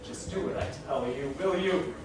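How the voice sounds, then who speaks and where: A man's voice: fairly high pitch, moderate pace, close to an everyday voice. A man on stage playing a bossy, blustering older merchant in an emphatic theatrical style. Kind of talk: theatrical stage comedy acting. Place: theater stage, recorded from a distance. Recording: very echoey, noisy hall from far off.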